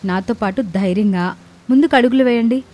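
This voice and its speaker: A woman playing a brave, determined young mother in an animated moral story, speaking firmly and earnestly. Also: on the high side, fairly quick, lightly acted. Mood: firm lecturing. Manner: firm, earnest, lecturing.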